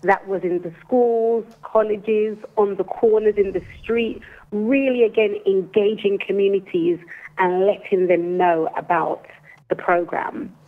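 A woman in her forties, born in Jamaica but with an English accent, speaking earnestly and slowly as an interview guest over the phone. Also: medium pitch, steady tone. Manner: earnest, careful, deliberately slow delivery. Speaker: guest, restorative justice coordinator. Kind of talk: phone-in interview, explaining a program. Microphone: telephone. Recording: tinny phone line.

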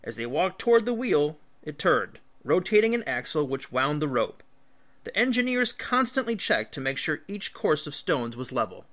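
Bright, animated man reading aloud. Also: a little high, normal speed. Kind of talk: scripted read-aloud of an illustrated history book.

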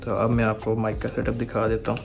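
A man's voice: on the low side, completely flat delivery, fast, creaky, music in the background. A young man with a Pakistani Urdu accent gives a casual how-to on his motorcycle camera and mic setup, checking in often with the viewer. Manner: explanatory, keeps asking "theek hai" to check in. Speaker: motovlogger. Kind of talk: casual gear tutorial.

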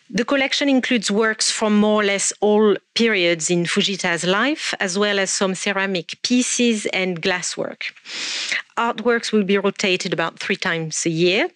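A woman gives a talk from a lectern, speaking in a measured way with frequent ums and restarts. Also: mid-range voice, fairly bright tone, no echo. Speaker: art researcher giving a talk. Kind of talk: presentation lecture. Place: indoor stage at a lectern. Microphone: stand mic at lectern.

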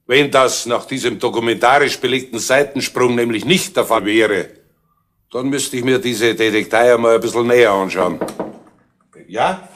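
A man's voice: mid-range voice, fairly bright tone, slight background noise, close to an everyday voice. A man playing a shrewd, grumbling police inspector in a radio crime play, folksy and dry, with a Bavarian accent. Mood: stern. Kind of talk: 1970s German radio play acting.

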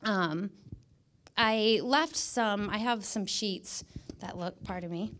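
A woman's voice: medium pitch, slow pace, a bit bright. Emphatic American woman giving a motivational talk. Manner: emphatic, repeats words for stress. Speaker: guest speaker, image consultant. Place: indoor stage in a dark event room. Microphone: handheld microphone.

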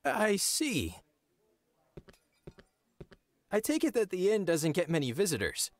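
A man's voice: high voice, wildly swooping pitch, moderate pace, no room sound, studio quality, heavily acted. An actor playing an awkward, polite young noble lord in a video game, earnest and hesitant in his speech. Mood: formal. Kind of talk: video game character acting.

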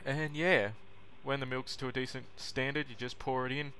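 A young man narrates a how-to in an informal, self-deprecating way. His mid-range voice stays fairly monotone. The recording is clearly noisy, with kitchen clicks around him. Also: mid-range voice, normal speed. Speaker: vlogger demonstrating how to make coffee. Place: small home kitchen, indoors.

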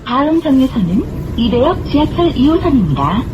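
A woman reading scripted bus stop announcements in a measured, formal manner. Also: high voice, sing-song. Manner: measured, formal, clearly phrased. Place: played over speakers inside a moving bus. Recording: muffled recording with clear vehicle noise. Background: bus engine and road noise.

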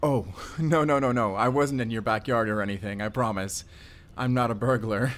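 A mid-pitched man's voice , an actor's, as an awkward, friendly single dad in an audio roleplay. He rambles nervously and apologises often, sounding like a flustered bird-loving neighbour. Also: moderate pace, a bit bright, lightly acted. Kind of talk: audio roleplay addressed to the listener.

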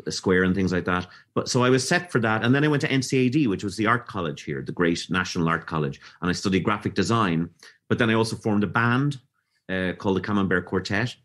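A man's voice: sits low, fast talker, fairly bright tone. A middle-aged man with a Dublin Irish accent, telling his story as a podcast guest. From Ireland. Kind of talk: casual interview talk.

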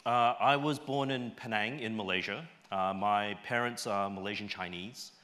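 Bright-voiced Australian man presenting on stage. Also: fairly low pitch, moderate pace. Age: middle-aged. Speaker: conference speaker. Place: large auditorium stage, indoors.

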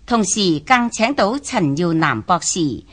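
Female radio presenter, Hong Kong Cantonese, formal scripted intro, slow and melodic, soft music underneath. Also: fairly low pitch, sing-song. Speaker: program presenter.

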